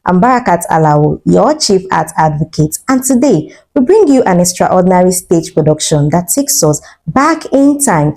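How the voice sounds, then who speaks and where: Lively low-pitched Nigerian woman presenter. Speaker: presenter of an arts news programme. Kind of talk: scripted news narration.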